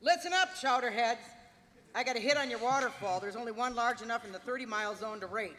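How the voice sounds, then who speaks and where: A woman's voice: on the high side, fast talker, a bit bright, natural voice. Sardonic, crabby old lady android acted by a woman. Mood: crabby, mocking. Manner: sardonic, brash. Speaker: actor at a live table read. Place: large convention hall stage. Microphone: table microphone. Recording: noisy echoey convention hall.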